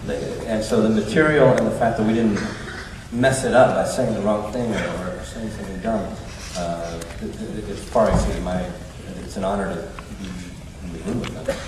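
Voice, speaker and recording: A man chatting casually as a film-maker on a panel, halting and self-deprecating. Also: fairly low pitch. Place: large indoor cinema auditorium. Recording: echoey cinema hall, distant, noisy.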